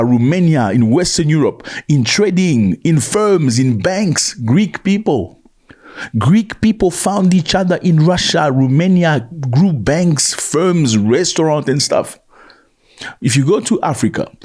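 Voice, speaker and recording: Emphatic man with a husky, lively voice. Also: a little high. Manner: emphatic, repeats points, acts out quoted voices. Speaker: commentator. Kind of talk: animated commentary monologue.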